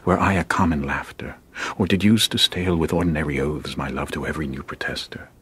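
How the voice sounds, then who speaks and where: Scheming Roman plotter, low husky voice, coaxing and insinuating, radio play. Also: male voice, fairly quick, fairly bright tone, exaggerated voice. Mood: persuasive. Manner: coaxing, insinuating verse delivery. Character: scheming persuasive Roman man, a flattering plotter. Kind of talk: classical stage radio drama.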